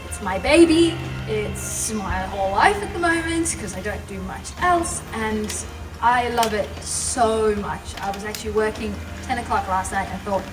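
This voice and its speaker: A woman's voice: medium pitch, lively intonation, a little slow, few pauses. A young woman telling her personal story as a guest speaker, passionate and chatty, laughing often. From South Africa. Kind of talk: personal story at a live event. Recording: roomy, from far off, music behind.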